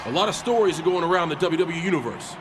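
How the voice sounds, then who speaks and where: An actor playing an earnest, defiant wrestling hero, giving an emphatic promo to an arena. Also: male voice, fairly high pitch, expressive, very fast, loud music behind the voice, lightly acted. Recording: loud arena crowd noise.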